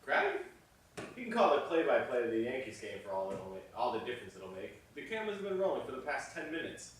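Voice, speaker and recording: A man's voice: mid-range voice, very animated, fast talker, slight background noise, close to an everyday voice. An actor playing an obsessive, stubborn male film director, speaking in an emphatic, self-important, theatrical way. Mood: dismissive, brisk. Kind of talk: theatrical dramatic dialogue. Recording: very echoey room, distant microphone.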